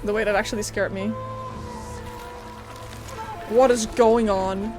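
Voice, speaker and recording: A woman streaming a game, reacting casually with expressive, exclamatory commentary. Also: mid-range voice, measured pace. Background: game music.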